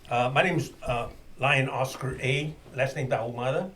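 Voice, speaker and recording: Brief: older man guest, halting with uhs, sits back from the mic, faint room noise. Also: medium pitch, fairly bright tone, far from the mic. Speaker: guest, club member. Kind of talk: casual interview.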